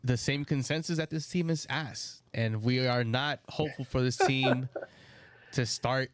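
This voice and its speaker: Male co-host, mid pitch, teasing and laughing, dry close-miked sound. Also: fairly even intonation, a little slow, no echo. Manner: teasing, laughing, moves the show along. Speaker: podcast co-host. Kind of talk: casual sports chat.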